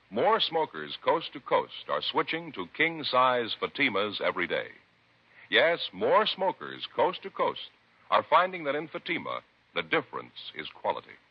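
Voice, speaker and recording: A man's voice: medium pitch, slightly rough. A man reading a 1950s radio advertisement as an emphatic, salesmanlike announcer. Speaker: commercial announcer. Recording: dull old radio recording.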